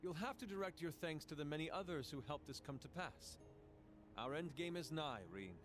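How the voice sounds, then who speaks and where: A man's voice: on the high side, expressive, normal speed, soft background music, close to an everyday voice. Man playing a lilting, flamboyant game prince. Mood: composed. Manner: florid, theatrical, playful. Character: flamboyant, good-humoured prince with florid speech. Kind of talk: video game voice acting.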